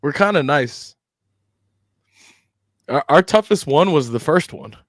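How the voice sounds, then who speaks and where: A man's voice: on the high side, lively intonation, slow pace, no room sound, studio quality. A male podcast co-host in casual joking banter, full of playful, profane trash talk.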